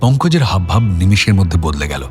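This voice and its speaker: A male narrator reads a scripted drama in a deep voice. His delivery is expressive, with wide intonation over a light music bed. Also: fast talker.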